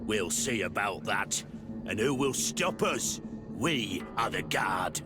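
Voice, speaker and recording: An actor playing a bullying, mocking guard thug who extorts people in a video game. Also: male voice, lowish voice, big pitch swings, slow, over loud music. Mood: defiant. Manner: mocking, taunting, threatening.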